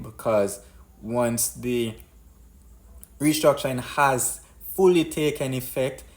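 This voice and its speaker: Man, medium pitch, slow pace, deliberate explainer, unscripted business commentary, rephrases points. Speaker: host of a business commentary show.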